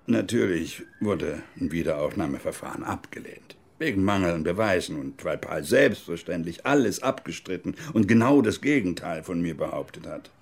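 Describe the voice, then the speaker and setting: An actor in a radio drama playing a bitter, stubborn old former army major, halting and agitated, with a gravelly, husky voice. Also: male voice, sits low, animated, heavily acted. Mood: bitter, resigned. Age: older. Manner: halting, agitated, self-reproaching, with outbursts. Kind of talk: German radio crime drama acting, interview monologue.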